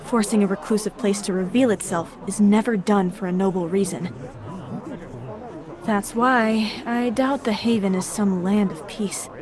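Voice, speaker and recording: An actress playing a guarded, earnest young wandering heroine in a video game. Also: female voice, mid-range voice, a little slow, lightly acted. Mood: serious, reasoning. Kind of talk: dramatic video game dialogue. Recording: music and crowd noise behind. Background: music, crowd.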